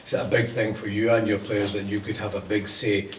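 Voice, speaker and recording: A man's voice: on the low side, little pitch movement, fairly quick, a bit bright, slight background noise. A man working as a sports journalist puts a question at a press conference. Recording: echoey room, distant mic.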